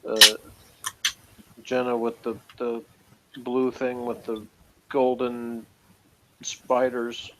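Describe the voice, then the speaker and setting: A man's voice: medium pitch, flat delivery, takes it slowly, pauses often, pushed and bright, poor audio. A man co-hosting a casual review chat, giving his reactions in an everyday conversational way. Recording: clear background room noise.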